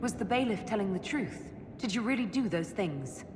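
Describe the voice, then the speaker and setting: An actress playing an earnest, direct and composed elf leader in a video game, in an echoey hall. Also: female voice, mid-range voice, lively intonation, normal speed, lightly acted. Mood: earnest, searching. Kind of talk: dramatic video game acting. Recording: echoey hall with clear background noise.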